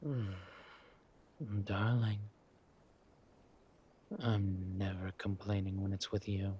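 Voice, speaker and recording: A man playing a flirtatious, smug villain king in a roleplay audio drama, talking to his spouse in a husky, teasing, languid way. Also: lowish voice, fairly even intonation, a little mellow, slight background noise. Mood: grudging, flirtatious. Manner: teasing, languid, amused, drawn-out phrasing with murmured asides.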